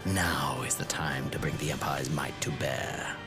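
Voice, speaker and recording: An actor playing a cold, imperious schemer from an empire in a video game. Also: male voice, very deep voice, fairly even intonation, measured pace. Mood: cold, menacing. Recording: music behind the voice.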